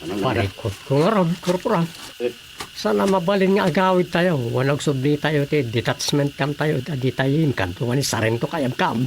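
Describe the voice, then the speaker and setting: A man's voice: a little high, lively intonation, normal speed, slight background noise, rough recording. A man playing an anxious, loyal army officer in a radio drama. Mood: troubled.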